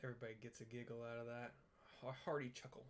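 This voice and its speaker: A man's voice: mid-range voice, completely flat delivery, rapid-fire. A man giving casual running commentary on a video game, chatty and given to rambling asides. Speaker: gamer, let's player. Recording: faint noise, room ambience.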